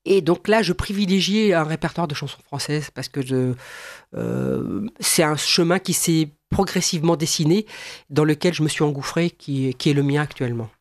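A woman's voice: low voice, moderate pace, no echo, studio quality. A woman singer being interviewed, talking hesitantly with frequent restarts and eager repeated agreement. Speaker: singer, guest. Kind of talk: radio interview.

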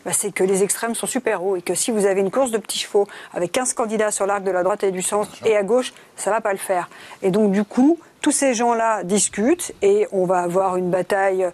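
A woman's voice: mid-range voice, a little fast, a little mellow. A woman in live political discussion, explaining things in a conversational, emphatic way.

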